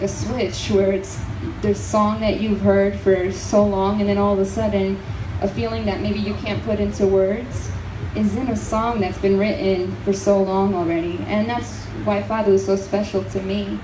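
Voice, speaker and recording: A young woman from New Jersey who grew up speaking Portuguese talks earnestly about her music, pausing with fillers as she reflects. She sounds set back from the mic, with a roomy echo. Festival noise carries clearly behind her. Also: medium pitch, flat delivery. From New Jersey, United States. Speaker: fado singer, interview guest. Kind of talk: casual interview. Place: outdoor festival. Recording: echoey festival crowd noise from far off.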